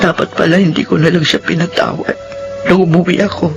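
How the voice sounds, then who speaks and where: A woman's voice: on the low side, steady tone, fairly quick, creaky. A woman playing a weary, loving, tearful mother in a Filipino radio drama monologue. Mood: bitter regret. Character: weary grieving mother working away from home, tearful, loving. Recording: dramatic music under the voice.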